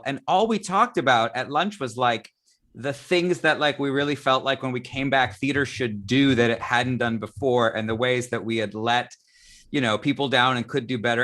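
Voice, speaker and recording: A man hosting a casual live talk show, speaking in a halting, conversational and emphatic way. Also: mid-range voice, a bit bright. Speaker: talk show host, theatre director. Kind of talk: casual live online chat.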